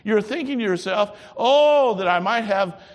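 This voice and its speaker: An older man preaching a sermon as pastor, lively, swinging from loud to soft. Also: high voice, measured pace, big-room sound.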